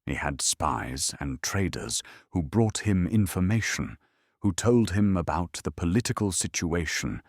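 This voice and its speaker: A man narrating a calm bedtime history documentary in a measured, solemn storytelling manner. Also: low-pitched, no room sound, clean studio sound.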